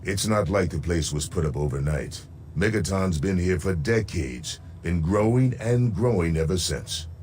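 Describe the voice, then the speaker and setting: An actor playing a gruff, plainspoken frontier sheriff in a video game, wary but decent, with folksy, blunt talk. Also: male voice, low-pitched, expressive, normal speed, hardly any pauses, darkish tone, a little hiss or hum. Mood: plainspoken, wry. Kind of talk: video game character dialogue. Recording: music behind the voice.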